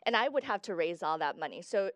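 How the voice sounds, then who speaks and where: A woman's voice: lowish voice, expressive, fast talker, dry recording, clean studio sound. A young woman from Guatemala, a guest in a casual interview, explaining at length with fillers.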